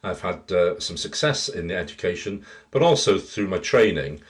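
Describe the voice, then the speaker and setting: A man's voice: low voice, lively intonation, moderate pace. Middle-aged man with a rich voice. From Wales. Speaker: interview guest, theatre practitioner and teacher. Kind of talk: reminiscing in an interview.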